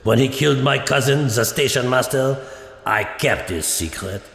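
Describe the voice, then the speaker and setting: A man playing a gravelly old French servant. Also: mid-range voice, moderate pace, fairly bright tone, reverberant, in a large hall. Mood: somber. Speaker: radio drama actor. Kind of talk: radio drama acting.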